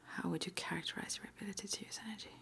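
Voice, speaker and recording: A woman whispering in an ASMR roleplay as an interviewer, breathy and halting, with gentle questions. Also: mid-range voice, fairly even intonation. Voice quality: breathy, mostly unvoiced whisper. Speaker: ASMR roleplay performer as witch school interviewer.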